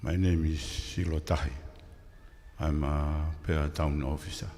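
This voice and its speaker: A man's voice: a bass voice, level tone, measured pace, dark tone. A man of sixty with a Tongan accent, formally introducing himself as a town officer. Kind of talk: formal self-introduction to a meeting. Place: community hall. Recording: echoey hall, background noise.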